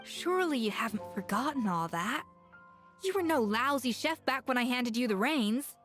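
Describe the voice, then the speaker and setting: A woman's voice: fairly high pitch, lively intonation, moderate pace, fairly bright tone, faint music behind. A woman playing a proud, confident young female genius chef in an anime game, emphatic and scolding but caring underneath. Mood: exasperated.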